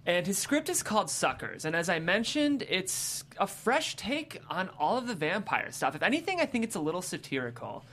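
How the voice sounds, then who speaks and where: A woman's voice: fairly low pitch, very animated, normal speed, few pauses, a bit bright, a little hiss or hum. A woman hosting a show and reading scripted stage directions with enthusiasm. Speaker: host and stage-direction reader.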